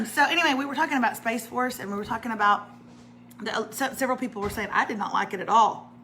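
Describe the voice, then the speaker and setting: A middle-aged woman with a raspy voice, co-hosting a casual morning chat and clearing her throat often. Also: a little high, fast talker, sharp tone, a little hiss or hum. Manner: chatty, self-mocking, frequent throat clearing. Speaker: co-host.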